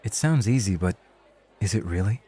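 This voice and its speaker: A man's voice: on the low side, expressive, moderate pace, dark tone, husky, a faint crowd. A man playing a young rookie club host in an ASMR roleplay, casual and cheeky, with bravado over self-doubt. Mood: unsure, curious.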